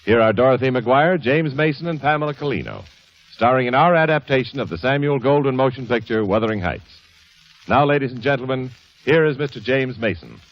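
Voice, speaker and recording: A man's voice: mid-range voice, expressive, brisk, highs cut off. An American man announcing in a polished, emphatic, scripted mid-century radio style, on an old broadcast recording. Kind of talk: 1940s-50s radio announcing, scripted sponsor reads.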